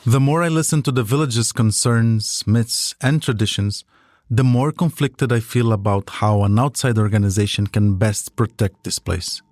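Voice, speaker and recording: A man's voice: on the low side, moderate pace, a little mellow, dry recording. A man hosting a podcast, narrating a scripted documentary.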